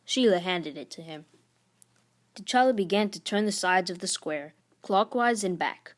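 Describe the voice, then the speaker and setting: A woman's voice: lowish voice, lively intonation, a little slow. A woman hosting a read-aloud, narrating a storybook expressively.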